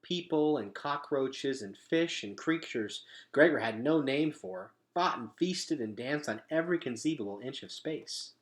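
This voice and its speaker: A man reading a children's novel aloud as a storyteller, with expressive, emphatic phrasing. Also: mid-range voice, a little slow, hardly any pauses. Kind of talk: animated children's book reading.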